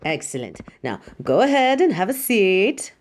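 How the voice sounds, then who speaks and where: A woman's voice: medium pitch, sing-song, a little slow, slight background noise. A woman playing a vain, imperious superhero costume designer in a theatrical audio roleplay, haughty, dramatic and doting toward the listener. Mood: proud, showy.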